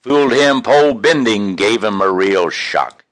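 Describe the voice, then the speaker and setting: A man reading his own poems in an expressive, folksy, homespun way, with casual introductions between them. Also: on the low side, unhurried, no room sound. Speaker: poet reading own work.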